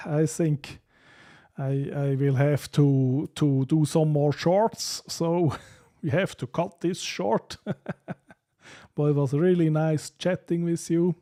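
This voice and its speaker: A man's voice: on the high side, slow, mellow. A man with a Swiss accent hosting a casual live stream, reading out viewer comments and often laughing between hesitant, filler-filled sentences. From Switzerland.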